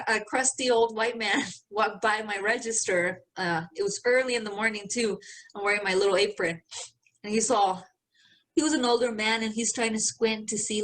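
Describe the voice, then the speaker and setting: A woman's voice: mid-range voice, fairly even intonation, moderate pace, fairly bright tone, rough recording. A young adult woman co-hosting a podcast, telling stories in a laid-back way, cussing freely and calling her friend "bro". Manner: profane, laid-back storytelling, says "bro" a lot. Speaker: podcast co-host. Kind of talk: casual chat.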